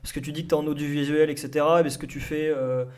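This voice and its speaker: A man's voice: on the high side, fairly even intonation, quick pace. A man hosting a casual interview, asking loose questions with many fillers. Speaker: host.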